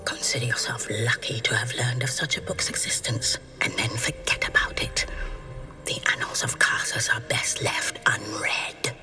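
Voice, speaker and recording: A woman playing a haughty, secretive keeper of arcane books in a video game, hushed and coolly condescending. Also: extremely low pitch, steady tone, moderate pace, whispered, distant mic, over music. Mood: disdainful, dismissive. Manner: hushed, disdainful, clipped politeness. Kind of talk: dramatic video game acting.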